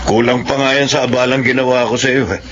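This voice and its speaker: A man's voice: mid-range voice, flat delivery, quick pace, a bit bright. A man playing a generous, hearty businessman in a radio drama. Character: generous wealthy businessman, Sir Zoilo. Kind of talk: 1970s-style radio drama acting. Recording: dull lo-fi radio recording with hiss.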